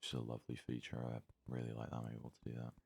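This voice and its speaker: Very deep, creaky-voiced man murmuring softly. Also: monotone. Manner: unhurried, murmuring, thinking aloud. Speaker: let's play host. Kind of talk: soft-spoken ASMR gaming commentary.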